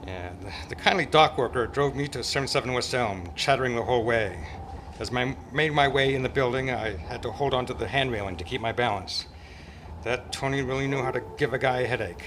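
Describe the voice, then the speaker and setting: A man's voice: medium pitch, brisk, lightly acted. A man playing a tough, gallant private eye in an old-time radio drama, with a wry, world-weary, slangy delivery. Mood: narrating. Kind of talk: hardboiled radio detective narration and dialogue. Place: club meeting, live performance before microphone. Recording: noisy amateur live stage recording.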